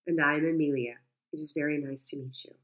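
A woman's voice: low-pitched, steady tone, fast, a bit bright. A woman doing a gushing middle-aged Bulgarian woman. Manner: gushing, effusive.

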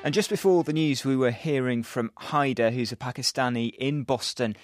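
A man hosting a live radio talk show, speaking briskly and conversationally as he reads listener messages and links segments. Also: mid-range voice, over music. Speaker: radio host. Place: radio studio.

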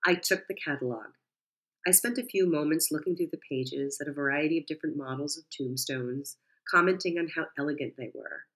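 A woman calmly narrating a first-person story. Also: low voice, a little fast, poor audio.

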